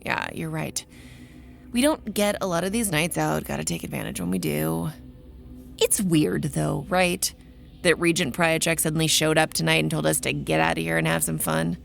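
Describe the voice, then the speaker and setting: A woman playing a sardonic, stubborn young vampire researcher in an audio drama, with a creaky voice and dry sarcasm. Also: sits low, normal speed, soft background music. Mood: wry. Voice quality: gravelly, creaky. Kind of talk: audio drama acting and first-person narration.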